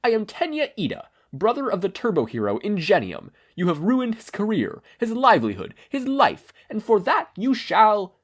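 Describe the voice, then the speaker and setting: Teen boy hero performed by a man, raised pitch, declamatory, earnest and furious, storybook reading. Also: wildly swooping pitch, normal speed, hardly any pauses, heavily acted. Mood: righteous anger. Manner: stiff, formal, declamatory.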